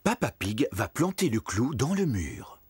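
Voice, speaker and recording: A man's voice: mid-range voice, sing-song, measured pace, noisy background. A man playing the cheerful narrator of a French preschool cartoon, telling the story in simple scripted sentences. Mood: bright storytelling. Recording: music behind the voice.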